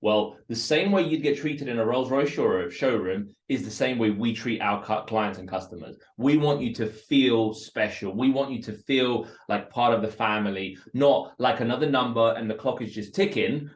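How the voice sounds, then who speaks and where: A man's voice: mid-range voice, moderate pace, few pauses. A Russian-born man talks with energy about his work. His voice sits in a medium register, and he often repeats a word as he sets up a point. The recording carries a noticeable roomy echo. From Russia, raised in West London. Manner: energetic, repeats words while building points. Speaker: entrepreneur guest. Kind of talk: casual interview talk.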